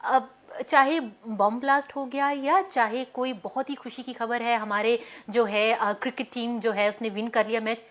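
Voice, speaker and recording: A woman's voice: a little high, steady tone, normal speed, few pauses, fairly bright tone. A Pakistani woman hosting a talk show, interviewing her guests in a conversational way.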